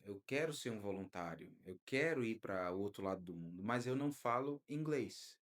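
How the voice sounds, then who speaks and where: A man hosting a podcast interview, talking in a lively way and drifting into preacherly asides. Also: lowish voice, moderate pace. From Brazil. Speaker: podcast host. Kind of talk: casual interview.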